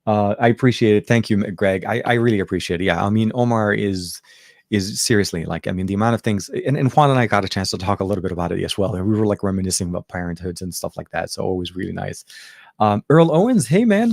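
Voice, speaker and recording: A middle-aged man, born and raised in Lebanon with Arabic as his first language, hosting a casual livestream from home. His voice sits a bit low, recorded dry and close. He rambles in a friendly way, full of "um"s and restarts. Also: quick pace, gravelly, darkish tone, dry recording. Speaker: tech show host. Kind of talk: casual livestream chat reading viewer comments.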